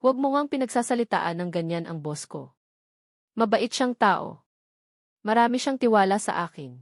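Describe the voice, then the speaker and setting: A woman's voice: mid-range voice, lively intonation, moderate pace, no room sound, close to an everyday voice. Woman reading as young office girl in a love story, earnest, snappy and defensive, dry audio. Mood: annoyed. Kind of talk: storybook character dialogue.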